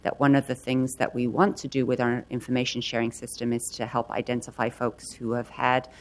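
A council member asking questions and giving remarks at a hearing in a measured way. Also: medium pitch, little pitch movement.

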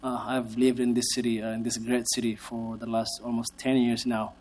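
A man from Somalia speaks before a city council as a policy advocate. He is measured and hesitant, and his filler sounds come often. His pitch stays flat and even. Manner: measured, hesitant, frequent uhs. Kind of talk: public testimony at a city council.

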